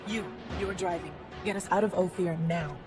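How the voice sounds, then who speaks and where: A woman playing a brisk, practical guide and companion in a video game, taking charge. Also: on the low side, music in the background, natural voice. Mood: urgent. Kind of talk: video game cutscene acting.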